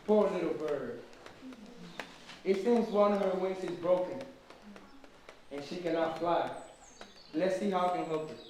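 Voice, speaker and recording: A man's voice: high-pitched, unhurried, long pauses, darkish tone. A man playing a kindly old forest king who tells stories in a puppet show. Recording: very echoey room, distant mic, faint noise.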